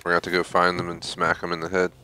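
A man's voice: low-pitched, completely flat delivery, rapid-fire, sharp tone. A young man giving casual commentary while playing a video game. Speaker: gamer doing a playthrough. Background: game sound and music.

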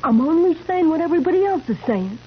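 A woman's voice: very high voice, big pitch swings, fast. An actress playing an insistent, accusing woman in a 1970s radio drama, confrontational, on a muffled recording with a hum. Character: sharp, accusing woman pressing a man for truth.

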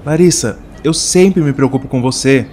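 A man's voice: medium pitch, moderate pace, a little mellow, a little hiss or hum, lightly acted. An actor voicing a devoted young man in an audio drama, speaking in an earnest, pleading way.

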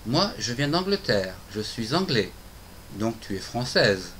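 Middle-aged male teacher, lively clear lines, hissy room recording. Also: mid-range voice, a little slow. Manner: clear, simple phrases spoken for learners. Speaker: French teacher. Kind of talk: scripted dialogue for a language lesson. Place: home room with bookshelves, indoors. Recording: noisy home video.

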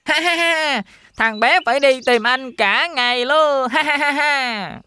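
A woman's voice: high-pitched, a little slow, sharp tone, a little hiss or hum. A woman voicing a carefree, easygoing young pig brother in a children's cartoon. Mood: teasing.

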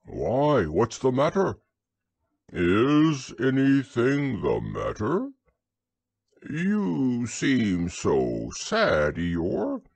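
A man's voice: medium pitch, wildly swooping pitch, very slow, creaky, a little mellow, husky, no echo, voice pushed up, full character voice. A man voicing a kindly, simple-minded teddy bear in a storybook reading, with a lighter, innocent, puzzled voice. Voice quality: lighter, raised voice.

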